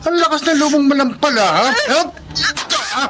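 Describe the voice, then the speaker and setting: An actor playing a menacing, heartless bully of a lover in a Filipino radio drama. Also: male voice, very high-pitched, animated, moderate pace. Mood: menacing, contemptuous.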